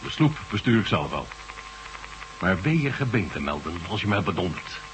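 An actor playing a curt, commanding military marshal in a radio drama. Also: male voice, deep voice, sing-song, normal speed, fairly bright tone, slight background noise, low-quality recording. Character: stern commanding military marshal, adult man.

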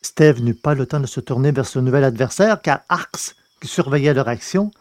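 A man narrating a science-fiction novel as an audiobook, in a steady, measured reading. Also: medium pitch, no echo.

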